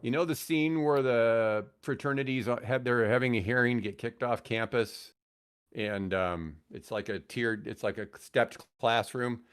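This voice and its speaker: A man's voice: mid-range voice, unhurried, clear, resonant tone. A man in his sixties telling stories casually as a podcast guest, rambling and circling back to rephrase. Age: 60s. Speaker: podcast guest, former radio broadcaster. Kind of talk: casual storytelling in a podcast interview.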